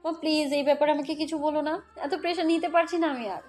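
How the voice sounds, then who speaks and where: A woman's voice: sits high, fairly even intonation, fast talker, a little mellow, soft background music, natural voice. A woman playing a helpless young mother in a romantic audio story, pleading and submissive. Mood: distressed.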